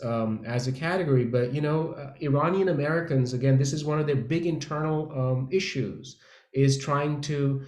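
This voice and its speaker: A man speaking as a panelist in a casual discussion, halting and correcting himself as he searches for words. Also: medium pitch, a little mellow. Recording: echoey room sound.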